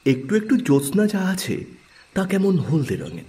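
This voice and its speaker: A man narrating a literary story in a measured, expressive, theatrical way. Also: high voice, faint music behind.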